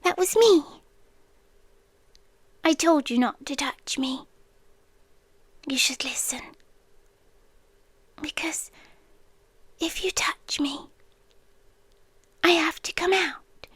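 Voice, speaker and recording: A British woman playing an obsessive, teasing yandere girlfriend in a whispered, breathy ASMR roleplay with long pauses. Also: high voice, fairly bright tone, dry recording. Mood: possessive, hushed.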